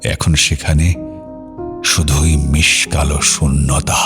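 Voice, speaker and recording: A man narrating a scripted audio drama in a measured, somber storytelling manner. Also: very deep voice, little pitch movement, over music.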